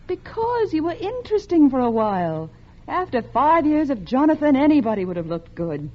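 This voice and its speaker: A woman's voice: high-pitched, big pitch swings, normal speed. An actress playing a coldly calculating murderous wife who confesses and narrates her crime in a vintage radio drama. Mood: cold, resolute. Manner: composed, calculating. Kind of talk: 1940s-1950s American radio suspense acting. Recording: muffled old radio recording with music.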